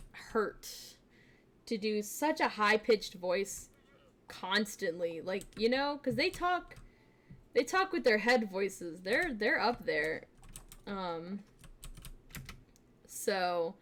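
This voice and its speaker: A young woman streamer chatting casually with her viewers, bubbly and giggly, with lots of fillers, switching between quiet asides and excited greetings. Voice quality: fairly deep voice for a woman. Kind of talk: casual live-stream chat.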